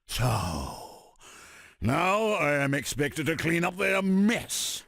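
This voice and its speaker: An arrogant, armored supervillain tyrant from a video game. The voice is heavily gravelled and husky, with grandiose, commanding delivery that swings widely in pitch. Every phrase is declaimed with pompous self-importance. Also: male voice, a bit bright, no echo, clean studio sound, exaggerated voice. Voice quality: gravelly, rasping. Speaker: video game villain character. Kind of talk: theatrical video game villain voice clips.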